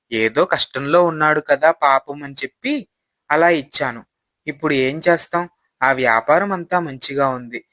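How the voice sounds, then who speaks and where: A man acting as a troubled husband in a moral tale, full of regret. His voice is bright, a little high and closely recorded, with earnest explaining. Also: no room sound. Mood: troubled, resigned. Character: regretful, troubled husband, a too-trusting friend.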